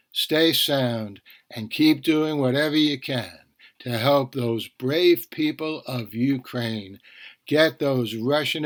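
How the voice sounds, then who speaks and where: A man hosting a podcast, talking conversationally with rambling asides and self-corrections. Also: medium pitch. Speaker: podcast host.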